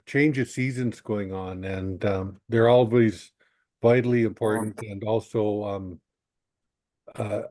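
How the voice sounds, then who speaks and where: Elder chief guy on a video call, slow and thoughtful, lots of restarts, dry sound. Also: medium pitch, darkish tone, dry recording. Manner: slow and reflective, with many restarts and fillers. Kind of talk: webinar greeting.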